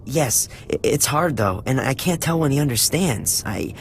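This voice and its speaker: Anxious, pleading captive interpreter. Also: female voice, a bass voice, lively intonation, normal speed, slight background noise. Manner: hesitant, pleading, earnest. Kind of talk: dramatic video game acting.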